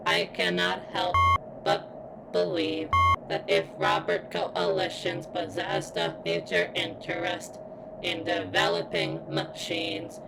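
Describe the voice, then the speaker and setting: A woman voicing a guarded robot girl who yearns for sentience in an ASMR roleplay, speaking in stilted, formal, halting phrases with a strained, pained sound. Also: extremely low pitch, big pitch swings, gravelly, over loud music, exaggerated voice, low-quality recording. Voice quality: strained, as if in constant pain.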